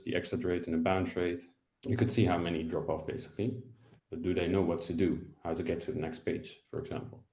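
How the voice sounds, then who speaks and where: A man's voice: low voice, warm tone, roomy sound. A man in his thirties giving an explanatory online presentation as an analyst, recorded over a video call. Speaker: product data analyst, presenter. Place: indoor room, on a video call.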